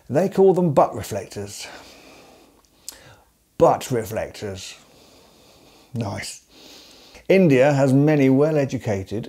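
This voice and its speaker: A man in his forties from England, hosting with dry, deadpan, scripted comedic narration to the camera. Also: medium pitch. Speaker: host. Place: indoors, seated at home. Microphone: facing camera at close range.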